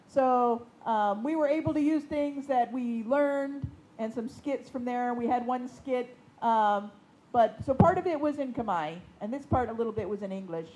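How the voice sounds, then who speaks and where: A woman leading puppet workshops, explaining in a steady way with some fillers. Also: a little high, rough recording. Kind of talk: casual presentation.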